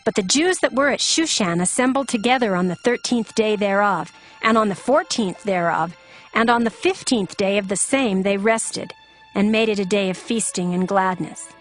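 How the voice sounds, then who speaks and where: A woman's voice: mid-range voice, moderate pace. Solemn woman narrating scripture over music. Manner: formal, solemn storytelling. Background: background music.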